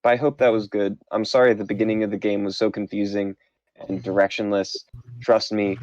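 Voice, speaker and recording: A man who runs a tabletop game, chatting casually after a session in a halting, self-deprecating way with many restarts. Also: on the low side, little pitch movement, darkish tone, no echo. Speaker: game master. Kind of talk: casual post-game chat. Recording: muffled lo-fi audio.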